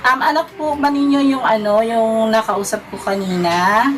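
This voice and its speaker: A woman's voice: a little high, steady tone, moderate pace, slight background noise. A woman with a Filipino accent chatting casually as a prank call vlogger. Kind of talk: casual vlog chat and phone calls. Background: loud music.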